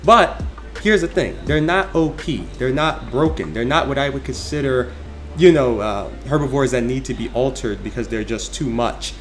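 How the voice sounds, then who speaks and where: A man with a medium-pitched voice delivers opinionated video game commentary. He talks conversationally and leans hard on his points with emphasis. Music plays clearly behind his voice. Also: lively intonation, moderate pace. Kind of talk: opinionated tier list commentary.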